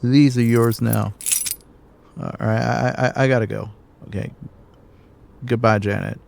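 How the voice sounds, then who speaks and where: A man's voice: medium pitch, fairly even intonation, a little slow, gravelly, a little mellow, slight background noise. A wounded man in an audio drama, leaving the woman he loves. His voice sits in a middle register, accusatory and bitter. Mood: hurt, resigned. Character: hurt, bitter man walking out on his fiancée.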